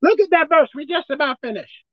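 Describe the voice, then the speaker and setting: A man with a squeaky voice preaching a sermon as pastor, emphatic and forceful. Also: lively intonation, brisk, dry recording, highs cut off. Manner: emphatic, pitch leaping up and down.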